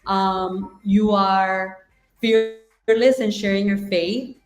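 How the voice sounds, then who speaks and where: A woman's voice: mid-range voice, fairly even intonation, very slow. A woman hosting a podcast, effusive and encouraging toward her guest. Her mid-range voice carries a roomy echo, with faint music underneath. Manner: effusive and encouraging, with many "right?" and "I love that". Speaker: podcast host, business coach. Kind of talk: casual interview conversation.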